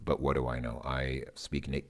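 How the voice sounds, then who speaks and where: A man's voice: very deep voice, flat delivery, moderate pace. A man who speaks English natively, talking through his thoughts in a loose, musing monologue and often repeating words while he thinks. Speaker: language learner vlogger. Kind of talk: casual spoken monologue.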